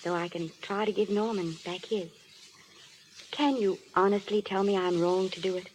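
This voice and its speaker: An actress playing a devoted, grieving young film star wife in theatrical old radio drama style. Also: female voice, medium pitch, steady tone, normal speed, darkish tone, slight background noise, lightly acted, low-quality recording. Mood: resolute, sad. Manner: earnest, tender, theatrical. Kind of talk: 1930s-40s radio drama acting. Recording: old radio recording, faint music.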